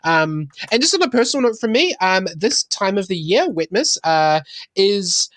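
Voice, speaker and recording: A man's voice: high voice, big pitch swings, moderate pace, fairly bright tone, dry recording. A man chatting casually as a podcast guest, earnest and a little hesitant. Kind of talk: casual chat.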